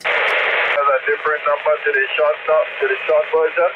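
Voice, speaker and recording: A man chatting casually over two-way radio, speaking haltingly and repeating his sentences. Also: fairly high pitch, little pitch movement. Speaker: radio operator. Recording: narrow, noisy two-way radio signal.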